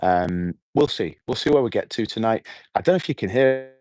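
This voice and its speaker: A middle-aged man with a hoarse voice, hosting a relaxed, rambling evening livestream outdoors and chatting with his viewers. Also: fairly low pitch, brisk, no room sound. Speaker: livestream host. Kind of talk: casual evening livestream chat. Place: outdoors at night.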